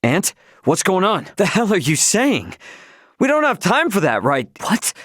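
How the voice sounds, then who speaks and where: An actor playing a brash, hot-headed but loyal young starship captain in a video game, with a blunt, cocky, slangy delivery. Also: male voice, high voice, huge pitch swings, unhurried, a bit bright, no room sound, clean studio sound, heavily acted. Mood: alarmed, urgent. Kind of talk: voiced video game character lines.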